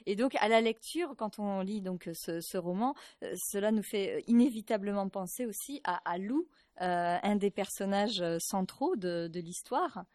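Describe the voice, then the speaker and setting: A woman's voice: medium pitch, lively intonation, normal speed, hardly any pauses, a little mellow, dry recording, studio quality. A woman hosting a radio book interview, hesitant and full of fillers. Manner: hesitant, many fillers and restarts. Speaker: radio host.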